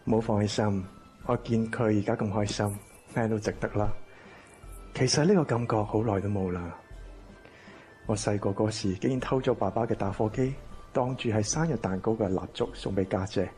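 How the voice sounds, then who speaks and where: A man's voice: on the low side, normal speed, darkish tone, soft background music, close to an everyday voice. A man playing a kind, wistful man with family regrets in a Cantonese radio drama. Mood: gentle, wistful.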